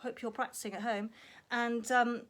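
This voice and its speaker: A woman telling a story to young children in an animated, expressive way, prompting with questions and acting out sound effects. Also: fairly high pitch, normal speed, slight background noise.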